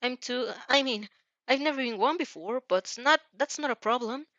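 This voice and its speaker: A woman's voice: sits high, normal speed, pushed and bright, no room sound, muffled recording, pitched above the performer's own voice. A woman voicing a shy, self-doubting teenage boy who speaks hesitantly. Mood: insecure. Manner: hesitant, faltering.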